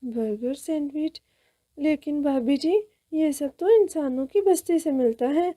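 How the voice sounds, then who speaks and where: A woman voices a timid, deferential bird in a cartoon. It speaks high and slowly, hesitant and apologetic. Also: mellow. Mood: puzzled. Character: meek, apologetic bird flock member.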